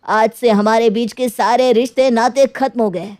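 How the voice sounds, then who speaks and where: A woman's voice: fairly high pitch, steady tone, moderate pace, a little mellow, no room sound, studio quality, natural voice. Woman as a bitter, insistent younger son. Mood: angry, resolute. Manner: complaining, insistent. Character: aggrieved younger adult son, bitter and proud. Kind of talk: dramatised moral storytelling.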